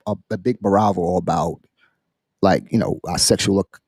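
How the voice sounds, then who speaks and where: A man hosting a casual podcast chat, halting and repeating phrases as he builds up his questions in an animated way. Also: low-pitched, slightly rough, no room sound. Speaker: podcast host posing a question.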